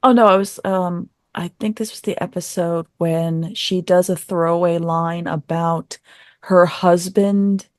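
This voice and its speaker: A woman with a slightly low voice talks as a podcast panelist. Her style is casual and conversational review chat. The sound is dry, with very little room. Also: fairly even intonation, measured pace, dry recording.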